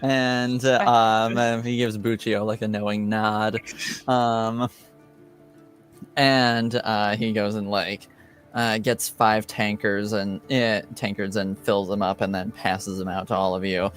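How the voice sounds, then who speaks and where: A man narrating as game master of a tabletop game, halting and full of fillers. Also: on the low side, flat delivery, fairly bright tone, faint music behind.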